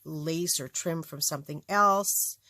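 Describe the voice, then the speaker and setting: A middle-aged woman from Canada talks casually to camera from her home craft studio. Her voice sits low and is still slightly raspy. She rambles, with plenty of fillers and restarts, and the recording is dry and close. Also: takes it slowly, pushed and bright, no echo. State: slightly raspy voice after illness. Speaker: crafting channel host.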